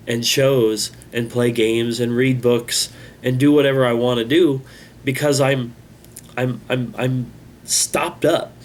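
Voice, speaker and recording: A man hosting his own channel, talking in a halting, rambling, self-critical way, with a congested voice. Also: mid-range voice, slight background noise. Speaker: channel host. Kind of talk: casual vlog monologue.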